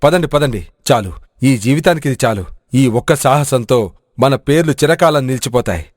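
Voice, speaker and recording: A hearty village strongman, played by a man in a storybook adventure. He speaks at a middle pitch with emphatic, dramatic delivery. The sound is very dry. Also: animated, normal speed, dry recording, lightly acted. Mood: triumphant. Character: hearty, food-loving axe-wielding village strongman. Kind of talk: dramatic audio storybook acting.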